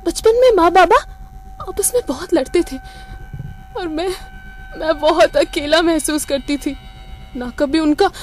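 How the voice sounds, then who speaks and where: High-pitched, cornered murderess, stammering. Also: female voice. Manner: stammering, breathless repetition, theatrical outbursts. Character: cornered young female murderer, bitter, lonely and unstable. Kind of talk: Hindi radio crime drama acting.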